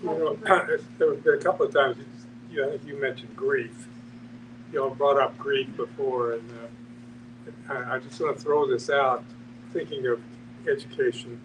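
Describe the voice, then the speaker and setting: Halting man reminiscing, distant noisy recording. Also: mid-range voice, fairly even intonation. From Texas, USA. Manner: halting, repeats words, reflective. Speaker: discussion participant. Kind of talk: casual personal storytelling. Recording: distant microphone, clear background noise.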